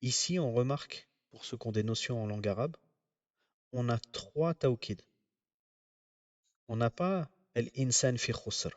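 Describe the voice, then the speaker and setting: A man giving a religious lecture, explaining in a measured way with frequent pauses. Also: medium pitch, darkish tone, dry recording, far from the mic. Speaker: religious teacher.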